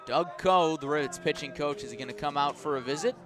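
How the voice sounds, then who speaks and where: A man calling a baseball game live on the radio, describing each pitch in measured phrases and lifting his voice for key plays. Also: music in the background. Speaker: baseball play-by-play announcer. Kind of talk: live radio sports commentary.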